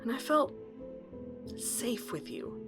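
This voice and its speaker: A woman's voice: fairly high pitch, sing-song, slow, over loud music. A woman playing a determined academic searching for her missing husband in an earnest audio drama. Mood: reflective. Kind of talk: audio drama acting and narration.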